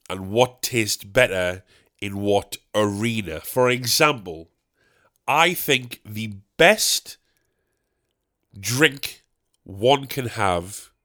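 A man's voice: mid-range voice, a bit bright. A man hosting his podcast solo from his bedroom, rambling and joking at his own expense, with a snotty, stuffed-up voice from a cold. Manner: rambling, self-mocking, jokey asides. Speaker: podcast host and stand-up comedian.

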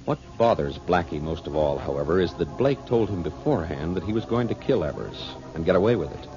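Deep formal radio announcer man. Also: lively intonation. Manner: measured, formal. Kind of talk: 1940s radio narration. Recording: dull old radio broadcast with music.

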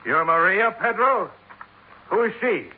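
An actor playing a tough, cool-headed American insurance investigator, terse and dry, in 1950s radio detective style. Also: male voice, high-pitched, lively intonation, a little slow. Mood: urgent. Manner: terse, hard-boiled, dry. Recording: tinny narrow-band old radio recording.

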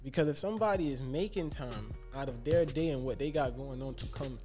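A man's voice: medium pitch, lively intonation, fairly quick, over music. A man, speaking emphatically and stumbling over repeated words as he makes his point. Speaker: podcast co-host. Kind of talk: casual chat.

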